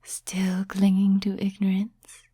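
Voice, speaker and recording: A woman voicing an ancient, seductive demon in whispered ASMR roleplay, slow, teasing and condescending, with a breathy voice close to the microphone. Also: mid-range voice, completely flat delivery, warm tone, dry recording. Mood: mocking, coaxing. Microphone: close, dry microphone.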